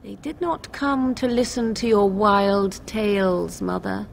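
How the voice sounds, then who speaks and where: A woman's voice: medium pitch, unhurried, creaky, slight background noise. An actress playing a haughty, impatient young witch of the wilds in a fantasy game, cool and curt. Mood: curt, disdainful. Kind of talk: fantasy video game voice acting.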